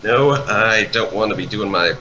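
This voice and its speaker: A man chatting casually as a guest, halting but enthusiastic as he talks about a creative project. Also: lowish voice, crowd noise. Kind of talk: casual chat, commentary over an art video.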